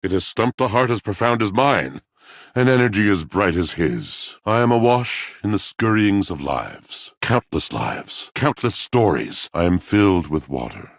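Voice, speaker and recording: An actor playing a brooding, lovelorn, poetic washing machine in a video game, speaking in florid, grandiose, theatrical phrases with a gravelly, husky voice. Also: male voice, lowish voice, expressive, unhurried, no room sound, studio quality, exaggerated voice. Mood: melancholy, grandiose.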